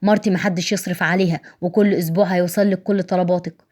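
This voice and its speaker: A woman's voice: on the low side, fairly even intonation, fast, a little mellow, lightly acted. A woman voicing a proud, cold young husband in an audio story, curt and firm, with an Egyptian accent.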